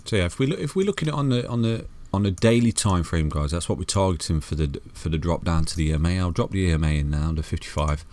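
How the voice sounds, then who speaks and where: Deep-voiced man, chatty chart talk. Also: lively intonation, quick pace, hardly any pauses, darkish tone. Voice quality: soothing voice. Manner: chatty, calls viewers "guys" and "mate", many restarts. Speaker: crypto chart analyst. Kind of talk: casual chart walkthrough.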